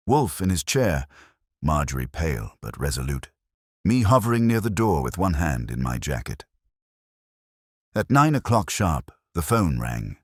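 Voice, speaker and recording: A man narrates a first-person detective story in a very deep voice. His pitch moves in lively swings, and the recording is dry, with almost no room sound. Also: no echo. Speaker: audiobook narrator.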